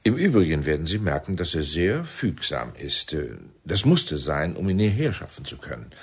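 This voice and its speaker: An actor playing a commanding, smoothly persuasive intelligence chief in a radio drama, husky and deliberate. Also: male voice, deep voice, very animated, heavily acted. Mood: calmly firm.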